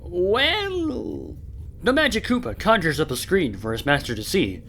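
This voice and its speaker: A man's voice: sits high, wildly swooping pitch, unhurried, heavy background noise. A man giving theatrical, dramatic narration of a scripted comic audio play.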